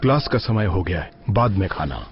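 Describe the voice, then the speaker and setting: A man's voice: sits low, sing-song, moderate pace. A man playing a stern, patient tai chi teacher in a dubbed cartoon, speaking in an instructive, scolding way. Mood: firm, instructive. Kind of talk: Hindi-dubbed animated film acting. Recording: dull lo-fi audio, faint music.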